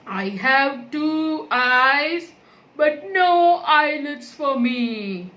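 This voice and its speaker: A woman voicing a friendly snake puppet in a children's educational show, deliberately repeating each fact for young listeners. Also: sits high, expressive, bright, forceful tone, exaggerated voice. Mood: friendly, instructive.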